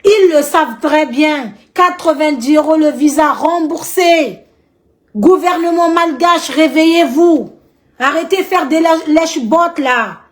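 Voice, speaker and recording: Woman, Malagasy accent, high-pitched, outspoken, repeats herself, vlog monologue. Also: measured pace. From Madagascar. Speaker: vlogger. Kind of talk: indignant monologue to camera.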